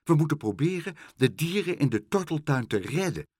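A man voicing a bossy, excitable fat female pigeon in a children's storybook, exclaiming urgently. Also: fairly high pitch, a little fast, husky, no echo, studio quality, voice pushed up. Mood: bossy, alarmed.

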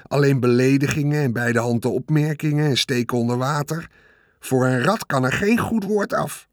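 A man's voice: medium pitch, moderate pace, dry recording, studio quality, lightly acted. A man doing a grumbling sarcastic rat. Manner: sulky, mocking, complaining. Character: lazy sarcastic male rat, grumbling and self-pitying.

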